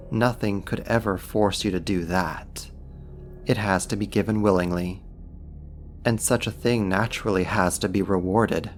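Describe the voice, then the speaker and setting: A man playing a smug, possessive archdemon in an ASMR roleplay, teasing and coaxing a human in an intimate, amused manner. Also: deep voice. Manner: teasing, smug, deliberate delivery. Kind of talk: ASMR roleplay acting, intimate second-person monologue.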